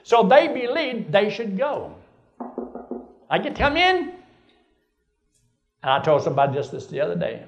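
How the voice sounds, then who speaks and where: Older male pastor, 70s, high-pitched voice, emphatic sermon delivery. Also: huge pitch swings, normal speed, long pauses, dull audio, faint music behind. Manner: preaching with rising emphasis, rhetorical questions.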